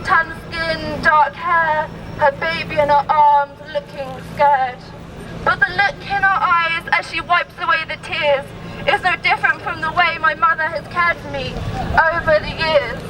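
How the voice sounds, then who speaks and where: Slow, high-voiced woman reciting poetry outdoors. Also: fairly even intonation. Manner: measured, declaimed in rhymed phrases. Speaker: poem reader at protest rally. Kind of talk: spoken-word poetry recital. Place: outdoors at a street protest. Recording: distant outdoor mic, crowd, traffic noise.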